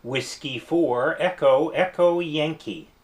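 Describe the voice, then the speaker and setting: A man's voice: a little high, slow, very noisy. A man working as a ham radio operator, chatting in a friendly, enthusiastic way and using many fillers as he talks. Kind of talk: radio contact exchange. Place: home radio station, early morning.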